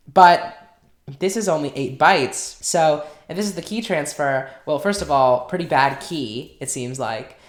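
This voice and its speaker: A woman with a low voice walks through a hardware project in a relaxed way, explaining each step and thinking aloud as she works. She talks casually, like a channel host showing her workbench. Her voice carries a noticeable echo from the room around her. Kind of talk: casual technical walkthrough.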